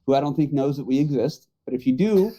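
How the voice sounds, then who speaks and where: A man's voice: mid-range voice, fast talker, mellow. A man talking casually as a podcast panelist, with a wry, joking manner. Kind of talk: casual chat.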